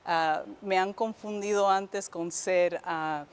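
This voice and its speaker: A woman's voice: lowish voice, fairly even intonation, slow, clean tone. A woman from New York, answering interview questions conversationally as a guest judge, searching for words and slipping in English fillers. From New York, United States.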